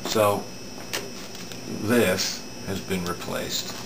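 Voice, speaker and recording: A middle-aged man giving an unscripted hands-on repair demonstration in a halting, matter-of-fact way, recorded at an indoor workbench. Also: low-pitched, vocal fry. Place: indoor home electronics workbench. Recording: steady room hum, air-conditioning noise.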